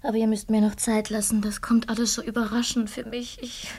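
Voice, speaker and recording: An actress playing a grieving young widow in a German radio play, speaking in a hesitant, halting way. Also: female voice, a little high, flat delivery, a little mellow, slightly rough. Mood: hesitant, yielding.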